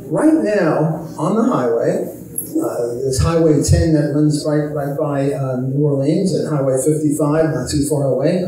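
Older man, slightly high voice, wry chatty speaker, handheld mic, echoey hall heard from a distance. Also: measured pace, dark tone, slight background noise. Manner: chatty and wry, self-amused asides. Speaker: economist speaking at a convention. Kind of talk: informal convention talk. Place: large hotel ballroom stage. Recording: echoey ballroom from the camera.

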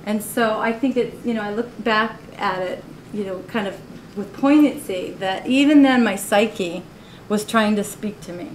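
A woman's voice: mid-range voice, normal speed. A woman in her forties talks through her own artworks at a college gallery, candid and laughing often, her speech full of "you know." The live recording sounds roomy. There is a faint noise floor under it. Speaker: artist giving a gallery talk.

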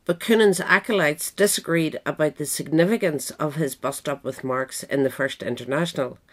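A woman narrating a scripted political history essay aloud in a measured, formal manner. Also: low voice. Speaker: audiobook reader.

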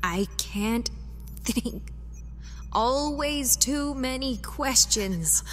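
An actress playing a troubled, gullible mother in an audio drama, halting and easily swayed. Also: sits high, lively intonation, exaggerated voice. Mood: distressed, unsure. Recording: long reverb, music underneath.

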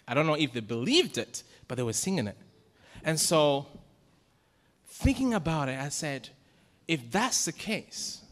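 Middle-aged guy preaching at the pulpit, kinda high bright voice, lots of church reverb, asks the crowd questions. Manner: preaching with questions to the congregation, rising to emphatic peaks. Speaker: pastor. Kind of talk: sermon. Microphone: pulpit microphone. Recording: long church hall reverb.

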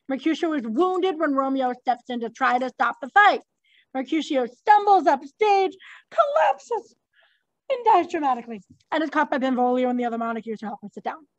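A woman teacher leading a class read-through of a play, prompting readers with animated, informal asides and reading stage directions. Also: high-pitched, dry recording, lo-fi sound.

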